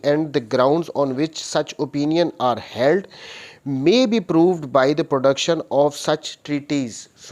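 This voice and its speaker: A man's voice: a little high, darkish tone. A man with a Pakistani accent lecturing on law, reading out clauses and explaining them methodically, rephrasing each point.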